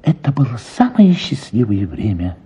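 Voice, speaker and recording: A man reading a first-person literary story for radio in an expressive, reflective manner, with drawn-out words and pauses. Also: mid-range voice. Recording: slightly dull radio sound, music behind. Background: soft music.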